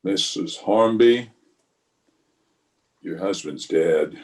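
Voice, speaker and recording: A man acts as a steady western marshal in an old-time radio drama. His voice is on the low side, and he speaks in short, measured lines with a calm, plain manner. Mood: grave, steady. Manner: measured, laconic.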